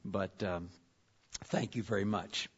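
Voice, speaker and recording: A man from far west Texas, a visiting convention leader giving a folksy, conversational church address with frequent pauses. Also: lowish voice, fairly even intonation, breathy edge, dull audio.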